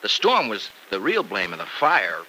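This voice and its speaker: An actor playing a grateful young farm husband in a radio soap, with an American accent and an exclamatory, folksy manner. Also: male voice, on the low side, wildly swooping pitch, normal speed, pushed and bright, heavily acted. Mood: explaining. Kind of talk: 1950s radio soap opera acting. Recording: noisy old radio recording.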